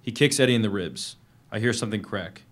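A young man reads on stage into a microphone. His voice sits fairly low as he delivers first-person narration and dialogue from a novel in a dramatic reading style. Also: fast, a bit bright. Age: young adult. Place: on stage at a live reading event. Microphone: microphone on a stand.

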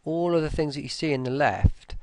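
A man presenting a software tutorial in a casual, informal way, thinking aloud as they go. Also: a little high, fairly quick, no room sound. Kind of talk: casual screen-recorded walkthrough.

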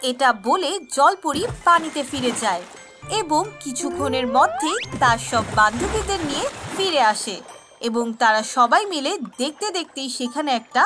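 A woman narrating a scripted children's story. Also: high voice.

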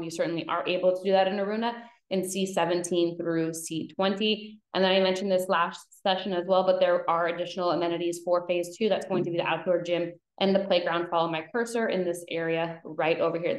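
A roomy, echoing webcam recording of a woman with a slightly low voice. She talks through slides as a real estate presenter, explaining in an informal, conversational way. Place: indoor room, video call. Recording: roomy echo on webcam call.

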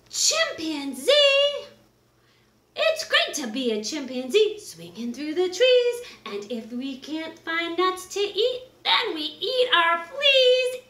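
A middle-aged woman reading a children's picture book aloud in an exaggerated, expressive way, with drawn-out words. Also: very high-pitched. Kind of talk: animated children's story read-aloud. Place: small wood-paneled room indoors. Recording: roomy, echoing indoor recording.

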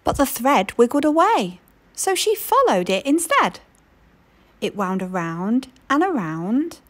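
A woman reading a children's picture book aloud in an expressive storytelling way. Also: on the high side. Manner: expressive storytelling, slow and lilting.

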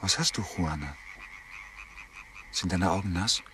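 Radio play sweetheart: male, lowish voice, tender and intimate, noisy backdrop of frogs. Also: a little slow. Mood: concerned. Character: earnest, tender male lover. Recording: noisy, croaking frogs behind.

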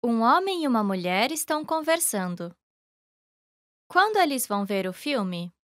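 A woman reading scripted lesson dialogue in a careful, even way. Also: fairly high pitch, moderate pace, no echo, studio quality. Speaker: language lesson voice actor.

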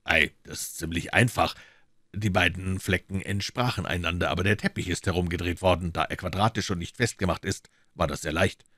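A man voicing a cool, commanding detective in an audiobook, speaking low and measured with quiet authority. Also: fairly even intonation, no echo, clean studio sound. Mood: explaining. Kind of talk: audiobook character voice.

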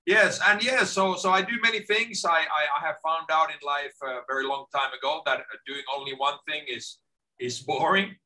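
A middle-aged man from Finland, a guest on a video call from his home office, talking in a lively way and often repeating words as he starts a sentence. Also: a little high, moderate pace, poor audio. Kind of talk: casual video-call interview.

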